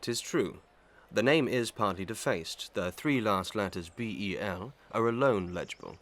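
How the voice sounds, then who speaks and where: An actor playing a stern, impartial magistrate in a Victorian melodrama, speaking in a formal, deliberate, official manner. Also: male voice, fairly low pitch, lively intonation, a bit bright. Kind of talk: Victorian melodrama audio play acting.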